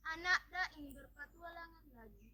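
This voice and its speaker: A woman's voice: extremely high pitch, lively intonation, moderate pace, full character voice. A teenage girl playing an eager, respectful young crown prince in an amateur folktale drama, with formal, deferential speech. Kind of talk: amateur school storytelling drama. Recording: loud noise around the voice.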